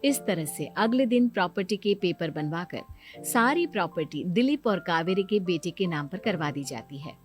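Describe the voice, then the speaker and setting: A woman's voice: lowish voice, expressive, normal speed. Woman narrating a story over music.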